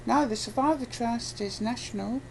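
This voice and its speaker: A British woman chatting casually as an interviewee, giving a rambling, halting and blunt account. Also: on the high side, creaky, a little mellow, slight background noise, low-quality recording. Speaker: interviewee, abuse survivor campaigner.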